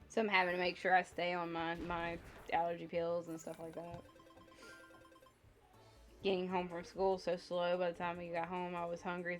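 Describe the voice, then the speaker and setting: Congested woman chatting casually on a livestream. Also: lowish voice. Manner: rambling, conversational, thinking aloud. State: slightly congested with a lingering cough. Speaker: livestream host. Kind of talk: casual livestream chat, answering viewers. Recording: music behind the voice. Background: music.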